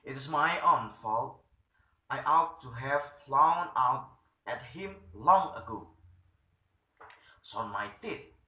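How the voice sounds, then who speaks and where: A man acts out a stubborn, indignant idealist doctor of middle age in a stage play. His delivery is defiant and halting, with a very sharp, forceful tone and lively swings in pitch. The room rings around him. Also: echoey room, far from the mic, exaggerated voice.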